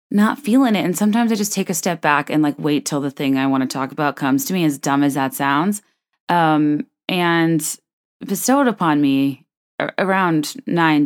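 A woman in her thirties hosting a solo podcast, chatting in a wry, rambling way with a low, soft late-night voice. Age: 30s. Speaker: podcast host. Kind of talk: casual solo podcast chat.

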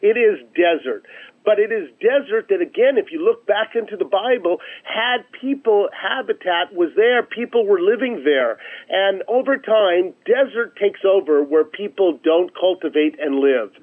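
A man speaking as an interview guest over a phone line, pitching his organization emphatically and repeating phrases for effect. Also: animated, normal speed. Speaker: charity chief executive, interview guest. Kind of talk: promotional radio interview talk. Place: remote call into a radio show. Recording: narrow, tinny phone line.

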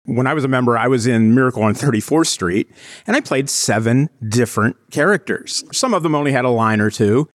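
A former stage actor telling animated stories in an interview. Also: male voice, medium pitch, a little fast, no echo, studio quality. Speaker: guest, former theatre actor. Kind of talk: casual interview answers.

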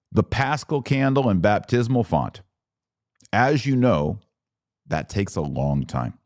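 Deep-voiced American man hosting a podcast. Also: a little mellow, dry recording, clean studio sound. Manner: folksy, conversational, direct address to listeners with rhetorical questions. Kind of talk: informal explanatory talk on a live podcast.

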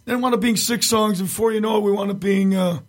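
A raspy-voiced middle-aged man, a tribute singer, chatting casually as an interview guest and telling rambling stories with many restarts. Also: high voice, fairly even intonation, fast talker. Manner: rambling storyteller, many restarts, frequent chuckles.

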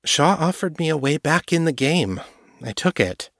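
A man's voice: on the high side, big pitch swings, moderate pace, creaky, a bit bright, no room sound, studio quality, voice pushed up, full character voice. A man voicing a blunt, frustrated young woman police detective who speaks glumly. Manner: blunt and glum.

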